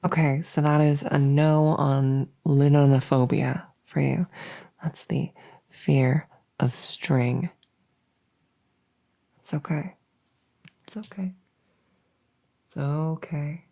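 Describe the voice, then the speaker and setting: A woman playing a silly, harmless female monster under the bed in an ASMR roleplay, soft and often whispering, rambling and repeating herself with playful earnestness. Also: sits low, steady tone, slow pace, gravelly, pauses often, full character voice. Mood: soothing. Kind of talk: whispery ASMR audio roleplay, addressing the listener.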